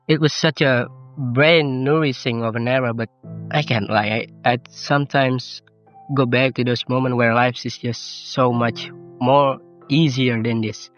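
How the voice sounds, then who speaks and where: Teenage male vlogger, Indonesian accent, non-native English, reflective and self-deprecating, slightly lo-fi voice-over with clear music underneath. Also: medium pitch, steady tone, measured pace, a bit bright. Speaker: YouTuber. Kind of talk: personal vlog narration. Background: game soundtrack music.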